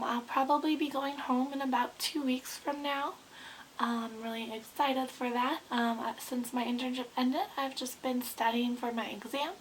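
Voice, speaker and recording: A woman in her twenties with a hoarse, sick voice, chatting casually to camera in a rambling way with lots of ums. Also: high voice, fairly bright tone, a little hiss or hum, low-quality recording. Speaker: beauty vlogger.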